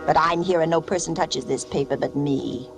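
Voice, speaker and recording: An actress playing a secretive wife descending into madness, intense and theatrical, confiding to herself as in a suspense radio drama. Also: female voice, low voice, normal speed, creaky. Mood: suspicious, secretive. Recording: radio drama with loud music behind.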